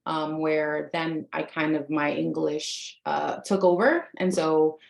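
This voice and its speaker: Earnest woman presenter with a gravelly voice. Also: low voice, fairly even intonation, slow, echoey room. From United States. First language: Spanish. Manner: earnest, conversational, addresses the audience directly. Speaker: life coach and presenter. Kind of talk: online workshop presentation.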